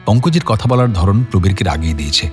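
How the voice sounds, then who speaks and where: A male narrator reads a scripted drama in a deep voice. His delivery is expressive, with wide intonation over a light music bed. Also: fast talker.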